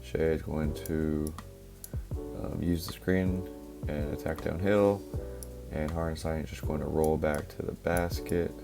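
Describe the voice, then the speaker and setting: A young man, a little hoarse from a cough, casually breaking down basketball plays with lots of fillers. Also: rumbling low, unhurried. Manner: relaxed, filler-heavy, explaining step by step. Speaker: basketball analysis creator. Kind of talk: casual play-by-play film breakdown. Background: background music.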